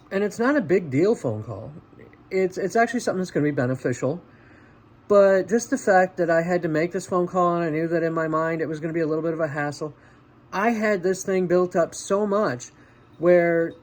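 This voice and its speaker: A man with a voice pitched a little higher than average hosts a personal vlog. He chats casually and directly to the listener, treating them as a friend. His manner is encouraging and conversational, in the style of an informal motivational talk. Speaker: vlog host.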